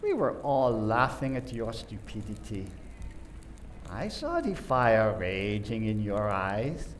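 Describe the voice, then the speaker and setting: A man in a community radio play acting as a theatrical detective type who accuses suspects in a deliberate, dramatic way. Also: fairly low pitch, sing-song, slight background noise. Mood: accusing, smug.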